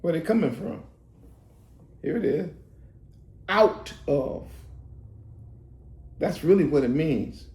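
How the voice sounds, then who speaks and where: A man preaching a casual sermon, swinging from loud, laughing, shouted asides to slow, drawn-out scripture reading. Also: a little high, lively intonation, echoey room. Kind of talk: street-style sermon teaching.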